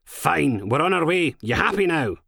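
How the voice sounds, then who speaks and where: A man's voice: fairly high pitch, big pitch swings, normal speed, gravelly, bright, forceful tone, husky, no room sound, clean studio sound, voice pushed up, full character voice. A man voicing a bossy, sarcastic, foul-mouthed Scottish female police inspector in an audiobook, brash and impatient. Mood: irritated.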